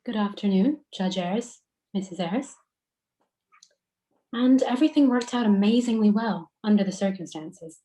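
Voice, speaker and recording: A woman's voice: mid-range voice, a little slow, long pauses, dark tone, close to an everyday voice, low-quality recording. A woman playing an attentive, motherly hospital worker in a screenplay table read. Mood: courteous, admiring. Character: motherly, attentive medical woman, phlebotomist or doctor.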